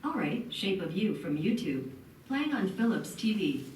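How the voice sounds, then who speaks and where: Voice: medium pitch, sing-song, normal speed, a little mellow, distant mic, slight background noise. A synthetic voice assistant giving short, cheerful confirmations of spoken commands. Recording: smart speaker heard across echoey room.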